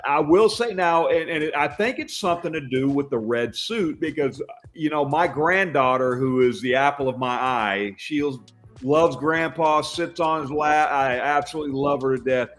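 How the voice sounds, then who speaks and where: An older man who works as a Santa, a guest on a podcast, talking in a loud booming voice with frequent restarts. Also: moderate pace, faint music behind. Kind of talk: casual podcast chat.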